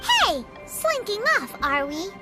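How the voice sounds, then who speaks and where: A tiny fairy sidekick for a video game, extremely high and childlike. The squeaky voice swings about with cheeky nosiness. Loud music plays behind it. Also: female voice, wildly swooping pitch, takes it slowly, exaggerated voice. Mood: suspicious. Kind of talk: video game character acting.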